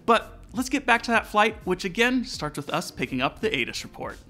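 A man's voice: high-pitched, sing-song, a little fast, sharp tone, a little hiss or hum. An American man in his forties hosting, giving a scripted explanation to viewers over background music. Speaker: aviation channel host. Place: indoor studio room with aviation decor.

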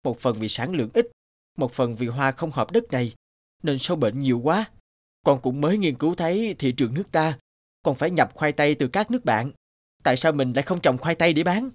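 A man's voice: on the high side, lively intonation, brisk, dry recording. A man playing an earnest, determined young farmer son in a cartoon, explaining things in earnest. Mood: discouraged, explaining. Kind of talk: animated cartoon dialogue. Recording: background music under speech.

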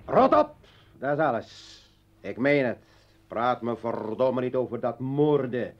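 An actor playing a defiant, sardonic revolutionary and failed poet in a radio drama, with a mocking, theatrical manner. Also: male voice, medium pitch, big pitch swings, a little slow. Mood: defiant, angry. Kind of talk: Dutch radio drama acting. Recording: muffled old radio play recording.

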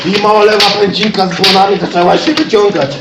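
A man throwing loud comic interjections into an improvised stage story. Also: high-pitched, steady tone, fast. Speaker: improv performer. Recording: distant echoey recording, loud noise.

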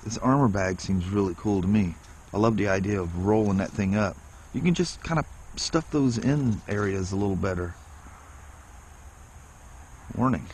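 A man's voice: lowish voice, moderate pace, darkish tone, a little hiss or hum. A man casually vlogging outdoors, unboxing and trying a camera pole while thinking aloud. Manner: rambling, self-deprecating, thinks aloud. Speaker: vlogger reviewing gear. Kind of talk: casual unboxing and ride vlog. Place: outdoors on a rural property. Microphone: action camera held in hand.

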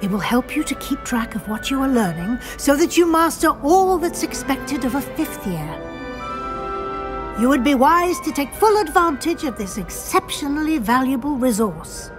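A woman playing a brisk, formal older witch professor with a British accent, measured and articulate, kindly but firm. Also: high-pitched, sing-song. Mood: brisk, encouraging. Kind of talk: scripted game dialogue. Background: background music.

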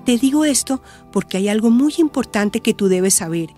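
A woman playing a calm, supportive counsellor in a radio drama, gentle, reassuring and explanatory. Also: a little high, moderate pace, soft background music, close to an everyday voice.

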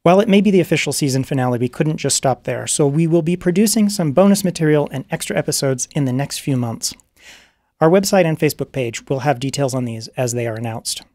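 A man's voice: a little high, lively intonation, fast, a little mellow, dry recording. A man giving a polite, formal scripted introduction as producer and host of a radio drama. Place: radio studio, live broadcast.

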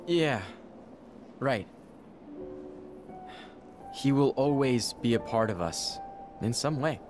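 A man's voice: mid-range voice, expressive, slow. A man playing an earnest teenage boy robotics prodigy and hero in a video game cutscene, hesitant when thinking. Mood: wistful. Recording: music behind the voice.

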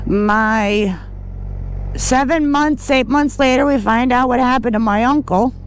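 A woman from upstate New York telling a family story casually while riding, hesitant and unhurried, with fillers, restarts and the odd swear. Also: fairly high pitch. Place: outdoors on a motorcycle in traffic. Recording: loud road and engine noise.

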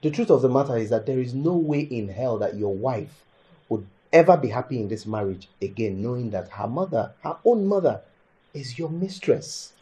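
A male actor plays a reasonable Nigerian friend in a film drama. His voice is soft-edged and dark, sitting at a medium pitch. He talks informally and frankly, pleading and persuading in a placating way. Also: expressive, normal speed, close to an everyday voice, low-quality recording. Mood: persuasive. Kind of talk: naturalistic film drama acting.

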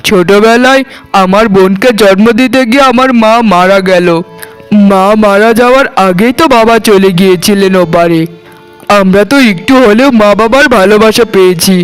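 A man's voice: high voice, smooth, close to an everyday voice, low-quality recording. A man playing an earnest eldest brother, defensive about his younger sister, in scripted cartoon dialogue.

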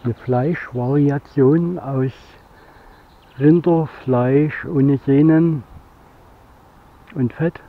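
A middle-aged man thinks aloud in a casual, unhurried vlog outdoors. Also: mid-range voice, phone-quality sound. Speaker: geocaching vlogger. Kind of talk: casual musing vlog narration. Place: outdoors on forest paths, later inside a parked van.

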